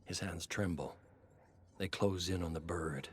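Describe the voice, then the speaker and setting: A man narrating a dramatic story in a theatrical, deliberate way, moving between hushed and intense delivery. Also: low-pitched, steady tone, slight background noise.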